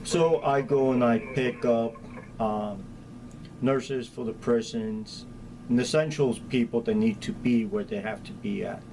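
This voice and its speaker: A man's voice: mid-range voice, unhurried. A middle-aged man with a Spanish accent giving plain-spoken, practical answers in an informal interview as an emergency official. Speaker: county hazardous materials chief being interviewed. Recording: clear steady background ambient noise.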